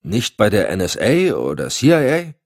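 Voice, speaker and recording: A man's voice: fairly low pitch, huge pitch swings, moderate pace, no echo, clean studio sound, pitched above the performer's own voice. A man voicing an outspoken, inquisitive special agent in an audiobook, eager and questioning. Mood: surprised. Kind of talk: audiobook dialogue.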